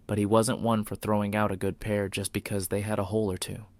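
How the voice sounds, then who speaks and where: A man narrating a scripted suspense story in a brooding, deliberate manner, with short dramatic phrases. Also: on the low side, flat delivery, darkish tone, dry recording.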